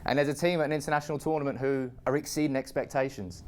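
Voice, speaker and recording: A man's voice: mid-range voice, steady tone, very fast, darkish tone. A man arguing football as a pundit, telling it dramatically and talking over the others. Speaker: Manchester United fan pundit. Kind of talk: heated football debate.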